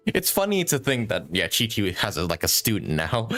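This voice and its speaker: A man giving casual live commentary as a game streamer, joking and quick to laugh. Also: fairly low pitch, a bit bright. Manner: jokey, laughs easily, sweary. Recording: stream with game music behind.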